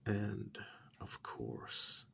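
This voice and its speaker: A man playing a smug, pampered captive in a soft-spoken ASMR role-play, drawling sardonically with long pauses and feigned innocence. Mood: sardonic, smug. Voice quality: soft, half-whispered, gravelly.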